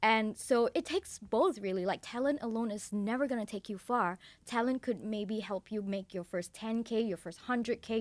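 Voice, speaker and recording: A young woman from Singapore with a fairly high voice speaks as a guest on a podcast. She is earnest and upbeat and stacks her points into lists. The sound is dry and close, with little room sound. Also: darkish tone, no echo. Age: young adult. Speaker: guest sales coach. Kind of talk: podcast interview.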